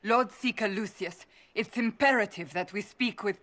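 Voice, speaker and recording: Woman playing a serious, resolute warrior, mid-pitched. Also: moderate pace, a bit bright, close to an everyday voice. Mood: urgent. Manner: formal, serious. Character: resolute, earnest female warrior and seeker. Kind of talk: fantasy game cutscene dialogue.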